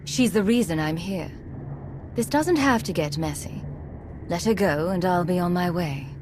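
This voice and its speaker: An actress playing a composed, authoritative secret agent commander in a video game, firm and diplomatic. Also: female voice, fairly low pitch, animated, unhurried, background noise. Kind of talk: video game cutscene acting.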